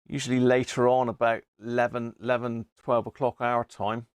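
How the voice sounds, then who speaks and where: A man's voice: mid-range voice, little pitch movement, moderate pace, clean tone, no echo. A British man hosting a casual hobby livestream, rambling and thinking aloud with chummy asides to viewers. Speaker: livestream host, CB radio hobbyist. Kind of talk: casual live chat to viewers. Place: indoor radio room.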